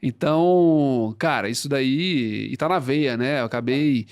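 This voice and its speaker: A man's voice: mid-range voice, unhurried, smooth. Young capixaba guy chatting on a podcast, loose and rambly, keeps tagging "né?". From Espírito Santo, Brazil. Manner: relaxed, rambling with fillers and "né?" tags. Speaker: guest, franchise founder. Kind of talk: casual interview storytelling.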